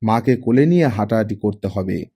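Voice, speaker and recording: A man's voice: on the low side, lively intonation, fairly quick, warm tone, husky. A man narrating a scripted story as an audiobook reader, acting out the dialogue as he goes.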